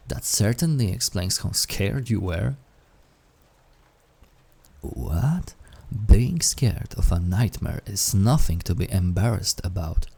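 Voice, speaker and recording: Man acting as incubus demon, low pitch, husky and breathy, hushed intimate delivery, audio roleplay. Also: full character voice. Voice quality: husky, breathy, often dropping to whisper. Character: incubus sleep paralysis demon, menacing turned awkwardly tender.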